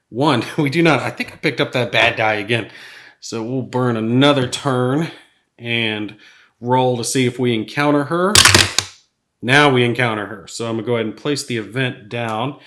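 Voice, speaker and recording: A man with a slightly raspy voice from a cold, thinking aloud as he plays a solo board game. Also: mid-range voice, a bit bright. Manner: thinks aloud while rolling dice, chuckles at bad rolls.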